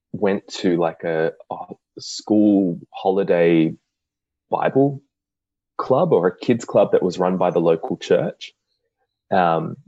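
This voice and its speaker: Deep-voiced Australian man, laid-back. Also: vocal fry, slightly rough, dry recording, highs cut off. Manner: relaxed, chatty, dry humor. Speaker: podcast guest. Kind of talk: casual interview.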